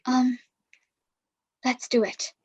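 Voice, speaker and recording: A woman's voice: high voice, flat delivery, very slow, a little mellow, slightly rough, exaggerated voice. A woman playing an earnest, troubled young heroine in a sci-fi play reading. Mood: hesitant. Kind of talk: reader's theatre acting.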